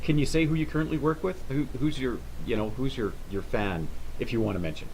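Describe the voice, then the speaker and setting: A man's voice: medium pitch, a little mellow, slight background noise, low-quality recording. Rambling middle-aged man hosting a talk show. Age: 50s. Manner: rambling, halting with many restarts. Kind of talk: casual interview chat.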